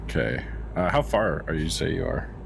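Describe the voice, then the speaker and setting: Super deep voiced guy chatting over voice chat, lively swoops, some line noise. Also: normal speed, creaky. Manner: very deep voice, laid-back. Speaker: guest player. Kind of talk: casual game chat.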